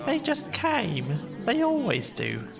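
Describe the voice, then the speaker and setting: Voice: mid-range voice, huge pitch swings, slow, creaky, music in the background, voice pushed up, full character voice. A storybook narrator voicing a self-important rabbit with a careless, offhand manner. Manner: careless, dismissive.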